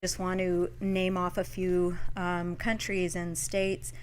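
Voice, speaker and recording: A woman's voice: lowish voice, monotone. A woman co-hosting a livestream, cheerfully reading viewer locations from the chat. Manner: upbeat, reads out lists quickly. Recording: faint background noise.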